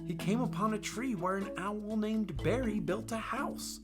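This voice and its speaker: A woman hosting storytime, reading a children's picture book aloud in a playful, expressive way that speaks straight to listeners. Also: medium pitch, measured pace, loud music behind the voice. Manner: playful, animated, addresses listeners directly. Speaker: storytime host and book reader.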